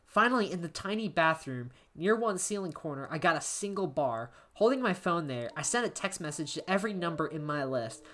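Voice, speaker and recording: Anxious, paranoid shut-in narrating a horror journal, played by a woman; deep register, very expressive, halting delivery, music underneath. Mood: frantic, unravelling. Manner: halting, emotive, with stammered repeats. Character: anxious isolated diarist unravelling into paranoia. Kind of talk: dramatic first-person horror story narration.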